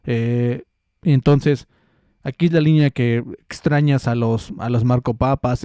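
A man's voice: medium pitch, dark tone, smooth, dry recording. A young man giving a rambling, joking football analysis into a close studio mic in a small room. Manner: rambling, joking, many restarts. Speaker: football commentator. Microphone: studio mic close up with headphones.

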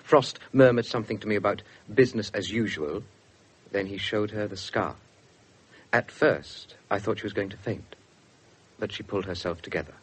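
Young english copper, earnest, lowish husky voice, radio play. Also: male voice, moderate pace. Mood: earnest narrating. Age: young adult. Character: earnest, awkward young male police detective. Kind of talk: 1970s British radio drama acting and narration.

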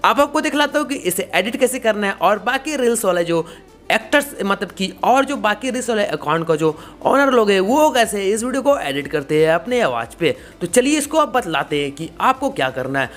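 Young man, tutorial host, lively varied pitch, casual, repetitive step-by-step explaining. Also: fairly quick, faint music behind.

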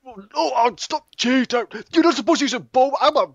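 A man's voice: extremely high pitch, moderate pace, no echo, highs cut off, pitched above the performer's own voice. A man voicing a boastful, whining cartoon sword fighter, taunting and complaining in an improvised comedic dub. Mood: whiny, pleading.

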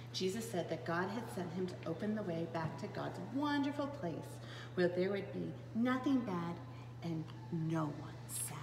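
Echoey woman reading a children's Bible storybook. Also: on the low side. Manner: animated and dramatic, with gasps, hushed asides and questions to young listeners. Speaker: preschool storytime reader. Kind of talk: expressive read-aloud of a children's Bible storybook. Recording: roomy, echoing space with clear background noise.

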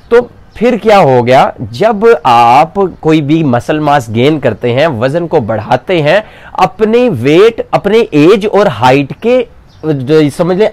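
A man's voice: fairly high pitch, a little mellow, no echo. A man in his twenties with a Pakistani Punjabi accent, explaining fitness in a chatty way to camera outdoors, on a clip-on mic. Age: 20s. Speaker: fitness coach and bodybuilder. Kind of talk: casual talk to camera. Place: outdoors in front of a brick wall. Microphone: clip-on lapel mic.

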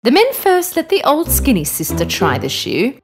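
A woman's voice: high voice, a little hiss or hum. A woman narrating a children's fairy tale in a scripted, storybook reading. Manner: slow, very expressive reading. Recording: narration over background music.

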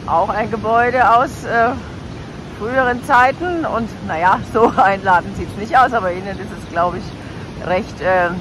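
A woman's voice: medium pitch, normal speed, fairly bright tone. A woman giving casual travel commentary as a vlogger, recorded outdoors. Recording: noisy outdoor recording, engine and water.

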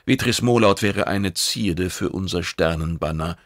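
Man as creaky-voiced, calmly probing young oracle page. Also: low voice, unhurried, no echo, studio quality. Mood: cool, assured. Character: shape-changing spy posing as eager, cheeky oracle page.

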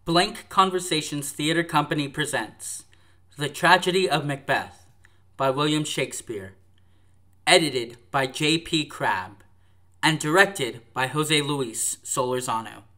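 A man's voice: fairly high pitch, measured pace, sharp tone. A man narrating a radio drama from a script, with theatrical storytelling delivery. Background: music.

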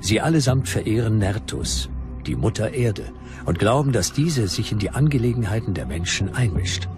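A man voicing an ancient Roman historian, formal and measured, in a documentary dramatization. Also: fairly low pitch, animated, lightly acted. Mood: grave, formal. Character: ancient Roman historian reporting on Germanic customs. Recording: music behind the voice.

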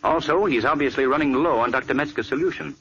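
An actor playing a calm, confident gentleman crime-fighter with a smooth, assured delivery, in 1940s radio acting. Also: mid-range voice, fast talker, lo-fi sound, natural voice. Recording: old radio recording with faint hiss.

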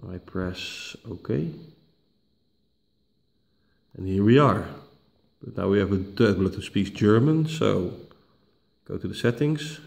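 Man, non-native english speaker, deep-ish voice, very slow pace, hesitant fillers, home camera recording. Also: lively intonation, creaky, a little mellow. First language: not English, picks Dutch. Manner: unhurried, many uh fillers, self-corrections. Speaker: tech tutorial host. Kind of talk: slow step-by-step screen walkthrough. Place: home dining room, indoors.

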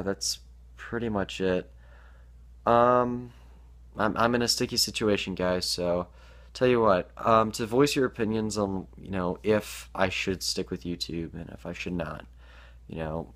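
A man's voice: lowish voice, fairly even intonation, measured pace. A teenage boy vlogging to his webcam in a small bedroom, hesitant with many ums, sounding stuffed up from allergies. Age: teens. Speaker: gaming YouTuber. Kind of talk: casual vlog to camera.

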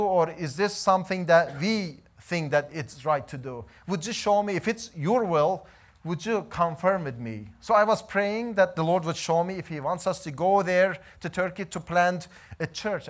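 Male missionary, 30s, lively storytelling testimony, fairly high voice. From Iran. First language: Azeri. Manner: animated storytelling, gesturing while pacing. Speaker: missionary preacher. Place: church hall, indoors.

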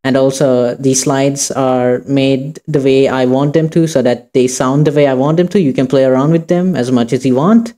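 A man hosting an informal software tutorial, talking casually and hesitantly as he goes. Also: medium pitch, fairly even intonation, normal speed, hardly any pauses. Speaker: tutorial host. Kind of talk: informal screen-recorded tutorial.

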